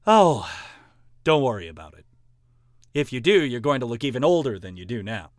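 A man's voice: mid-range voice, big pitch swings, moderate pace, dry recording, lightly acted. A man playing a dry, long-suffering retainer in an amateur anime audio drama, wry and understated. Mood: wry, resigned.

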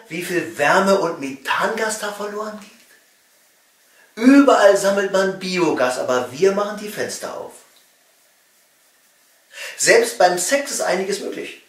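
A middle-aged man from East Germany reading a satirical comedy monologue with wry emphasis and pointed pauses. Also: high-pitched, a bit bright. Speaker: cabaret comedian and author. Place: small home study, indoors. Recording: roomy echo, distant microphone.

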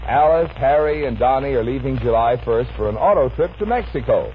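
A man's voice: medium pitch, animated, fairly quick. A man announcing a 1950s radio comedy, scripted, genial and folksy. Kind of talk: 1950s scripted radio show narration and banter. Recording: muffled 1950 radio recording, faint hiss.

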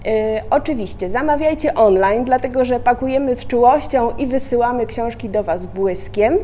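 A woman's voice: on the high side, moderate pace, mellow, slight background noise. A middle-aged woman from a bookshop, enthusiastically promoting new books and a book fair. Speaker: bookshop staff. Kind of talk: promotional announcement. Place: indoor bookshop.

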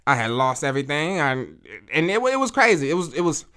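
A man in his thirties talking alone on his podcast, blunt and profane, repeating phrases as he tells stories, recorded on a studio boom mic in a small room. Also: big pitch swings, a little fast, bright, forceful tone, smooth. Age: 30s. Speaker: podcast host. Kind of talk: casual solo podcast monologue. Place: small indoor studio with photo wall. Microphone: studio mic on boom arm, headphones on.

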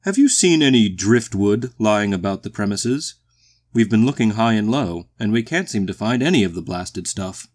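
A man voicing a big, polite, pompous, slightly tipsy gentleman walrus in a storybook, with a booming, hesitant delivery. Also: expressive, moderate pace. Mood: genial, formal. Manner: pompous, polite, hesitant, old-fashioned British phrasing. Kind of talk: acted storybook dialogue.

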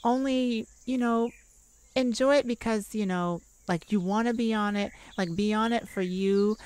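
A woman's voice: fairly high pitch, unhurried, darkish tone, clean tone, no echo. A woman hosting an improvised ASMR-style self-care podcast, talking in an informal, reassuring way. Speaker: podcast host.

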